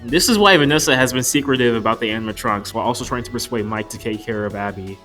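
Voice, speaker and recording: A man's voice: mid-range voice, quick pace, bright, forceful tone. Casual male reviewer over music. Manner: wry, profane, mock-exasperated. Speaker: movie reviewer. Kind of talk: casual video review narration with jokes.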